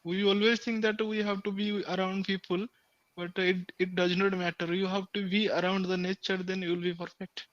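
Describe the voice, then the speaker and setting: A man's voice: high-pitched, level tone, normal speed, no room sound. A young man from Odisha with an Odia accent, an English tutor chatting on a phone call, hesitant and earnest. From Odisha, India. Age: young adult. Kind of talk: casual video-call chat. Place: room with friends nearby.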